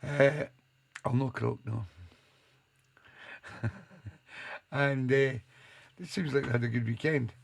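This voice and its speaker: A man hosting a live online soul radio show, his voice hoarse and gravelly after a night out, chatting and reeling off listeners' names. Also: mid-range voice, fairly even intonation, takes it slowly, pauses often. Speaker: radio DJ and host. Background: soul music playing.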